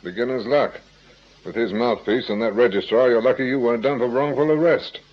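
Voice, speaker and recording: An actor playing a gruff, impatient senior detective in a radio drama, bossy and sardonic. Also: male voice, mid-range voice, fairly quick, lightly acted. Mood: irritable, sardonic. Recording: dull, hissy radio recording.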